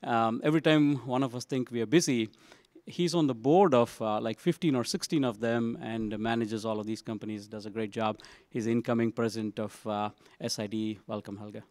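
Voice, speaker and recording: Halting man moderating a conference panel. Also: medium pitch, no echo. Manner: relaxed, halting delivery with many fillers. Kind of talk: live panel introductions to an audience. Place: conference session room.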